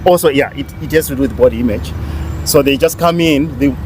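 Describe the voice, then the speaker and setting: Man with a middle-pitched voice co-hosting a casual podcast, talking on at length and circling back to repeat his points. Steady street and traffic noise sits clearly under his voice. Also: a little fast.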